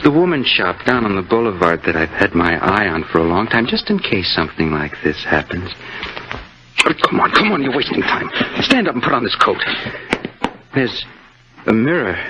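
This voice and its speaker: Low-voiced, swinging, gloating killer. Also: male voice, moderate pace. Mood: impatient, menacing. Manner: gloating, stammering excitedly, needling. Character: boastful, menacing killer on the run, a failed newspaperman. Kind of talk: old-time radio suspense acting. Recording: muffled, noisy old radio drama recording.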